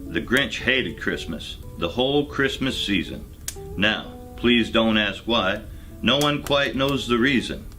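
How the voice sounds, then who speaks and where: A man reads a rhyming storybook in a low, bright and forceful voice. His delivery is very unhurried and measured, with faint music underneath. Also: lively intonation. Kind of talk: storybook narration, rhymed.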